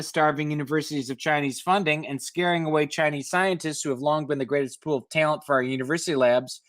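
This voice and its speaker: A man's voice: on the high side, a bit bright, no room sound, clean studio sound. A man reading news articles aloud and breaking off into skeptical, opinionated asides of his own. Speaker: host reading news stories with commentary.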